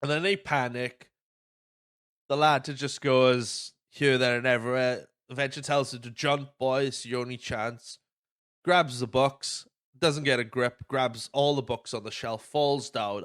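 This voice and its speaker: A man hosting a casual film podcast, retelling plots in an animated, sweary way. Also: mid-range voice, unhurried. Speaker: podcast host.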